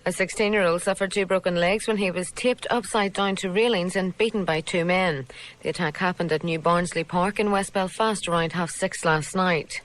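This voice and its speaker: A woman with a Northern Irish accent reading a scripted radio news bulletin in a formal, measured way. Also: fairly low pitch, steady tone, rough recording. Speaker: radio newsreader.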